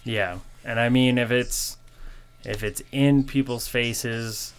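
A man in his twenties from Colorado, hosting a casual chat in a relaxed, conversational way with lots of "you know". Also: medium pitch, takes it slowly, clean tone. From Colorado, USA. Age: 20s. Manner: laid-back, conversational, peppered with "you know".

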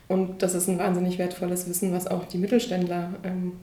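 Woman consultant, mellow and gravelly, explanatory, with a roomy echo. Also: lowish voice, monotone, brisk. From Berlin, Germany. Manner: explanatory, uses many fillers. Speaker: employer branding consultant. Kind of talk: interview answers.

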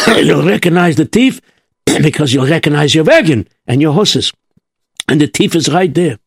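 A wise old rabbi, as a storyteller puts him on, measured and soothing, weighing each word. His voice sits a touch above the storyteller's own in a dry, close recording. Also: fairly high pitch, huge pitch swings, creaky, husky, no echo, pitched above the performer's own voice, full character voice. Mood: calm. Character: wise, calm old rabbi, a holy man.

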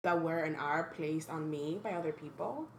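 A woman's voice: low voice, normal speed, roomy sound, soft background music. A young woman from the Dominican Republic co-hosting a podcast, speaking thoughtfully and frankly about her life. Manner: thoughtful, earnest, frank, with sudden blunt humor. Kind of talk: reflective casual chat.

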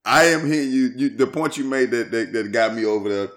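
A man's voice: medium pitch, fairly quick, fairly bright tone. A man co-hosting a podcast, bantering casually in slang with an incredulous, reactive manner. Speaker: podcast co-host.

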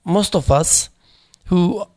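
A man speaking at a memorial panel, halting and searching for words, with many fillers and restarts in English that is not his first language. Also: sits high, mellow. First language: not English; foreign accent in Turkish noted.

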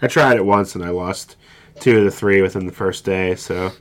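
Man, podcast co-host, casual, dry, fairly low voice. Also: normal speed. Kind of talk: casual chat.

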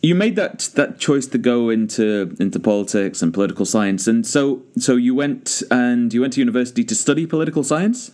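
A man hosting an interview, asking polite, unhurried questions of his guest. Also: medium pitch, animated, darkish tone. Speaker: podcast host, interviewer. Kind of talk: conversational interview.

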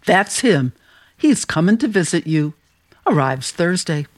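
An actress playing a matter-of-fact, chatty small-town telegraph clerk in a radio play, dry in manner. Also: female voice, fairly low pitch, very animated, measured pace, darkish tone. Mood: friendly.

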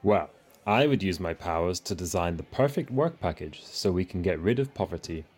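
A man's voice: low-pitched, animated, normal speed, a little mellow, breathy edge. An actor playing a dutiful, overworked migrant father, speaking plainly and a little wearily in a radio play. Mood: fond, musing. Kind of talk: radio play monologue and dialogue.

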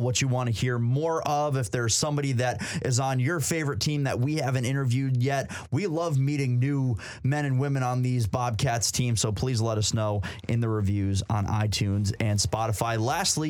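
A man's voice: medium pitch, no echo. A man hosting sports radio, reading updates and wrapping up shows.

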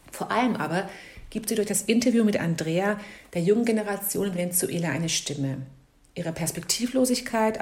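A woman's voice: lowish voice, darkish tone. A woman reading out a scripted award laudation as a jury member. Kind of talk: scripted speech, read aloud.

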